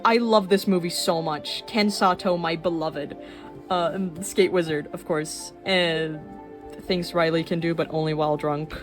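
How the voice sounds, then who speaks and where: A woman of twenty-one chatting casually in a rambling way while showing her sketchbook, with fillers and quick laughs. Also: on the low side, steady tone. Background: soft background music.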